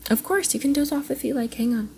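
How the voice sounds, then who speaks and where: A woman acting out a playful young female cosplayer in an ASMR roleplay, talking closely to one listener. She is coy, teasing and affectionate, slipping between bubbly chatter and soft murmurs. Her voice has a noticeable crackly fry, over audible background noise. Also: high voice, fast. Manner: giggly, coy, often dropping into soft breathy murmurs.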